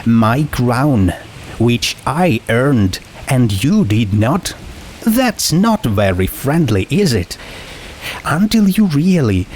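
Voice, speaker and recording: A man voicing a cool, pitiless teenage girl with a condescending, calmly menacing manner in a dramatic story reading. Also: mid-range voice, slight background noise, rough recording.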